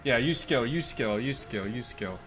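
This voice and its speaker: A man in his twenties giving casual gameplay commentary from a room, thinking out loud and swearing in quick bursts of excitement. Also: mid-range voice, faint music behind. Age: 20s. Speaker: streamer playing a card game. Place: room indoors.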